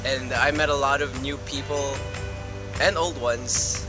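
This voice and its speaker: A young man gives a sentimental, unhurried farewell testimonial. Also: medium pitch, steady tone, music in the background.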